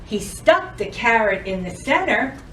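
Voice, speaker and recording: A woman reads a picture book to children in a fairly high voice. Her intonation swings widely and her delivery is unhurried and expressive, as at a library storytime. The microphone sits some way from her. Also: recorded from a distance, a little hiss or hum, low-quality recording. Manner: expressive, addresses children with questions. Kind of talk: children's storybook read-aloud.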